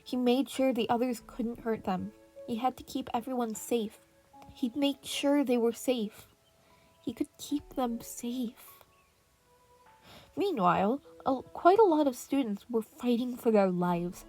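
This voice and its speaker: Casting: female story narrator, higher-pitched voice, reading fan fiction over gentle piano music. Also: a little slow. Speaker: podfic narrator. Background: relaxing piano and birdsong music.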